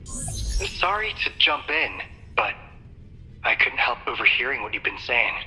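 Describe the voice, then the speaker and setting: A man's voice: mid-range voice, wildly swooping pitch, measured pace, vocal fry, pushed and bright, full character voice, poor audio. A man playing a steadfast field consultant in a game dub, heard through a radio comms filter. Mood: steady. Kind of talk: English game dub acting.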